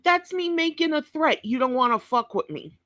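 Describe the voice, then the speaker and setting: A woman's voice: high-pitched, animated, normal speed, a bit bright, no echo, studio quality. A woman giving blunt, scolding commentary on a clip, swearing heavily. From New York. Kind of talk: profane reaction commentary.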